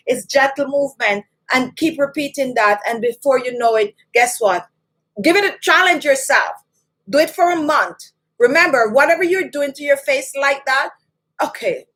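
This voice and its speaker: High-voiced Jamaican woman giving skincare tips. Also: fairly bright tone. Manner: chatty, emphatic, repeats key points. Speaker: beauty channel host. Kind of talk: skincare tutorial to camera.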